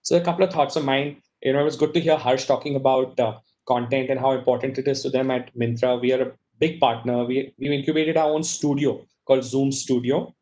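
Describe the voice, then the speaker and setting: A man's voice: mid-range voice, fairly even intonation, brisk, few pauses, a little mellow. A middle-aged man speaking as a media executive on a video-call panel, conversational with frequent "you know". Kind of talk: casual panel discussion. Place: office room with world map on wall. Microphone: video call webcam.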